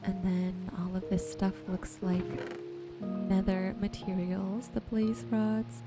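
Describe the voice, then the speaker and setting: A woman softly and gently narrating her gameplay in an ASMR style, musing to herself as she goes. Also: medium pitch, level tone, takes it slowly, over loud music. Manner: soft-spoken, gentle musing to herself while sorting. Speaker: gaming video host.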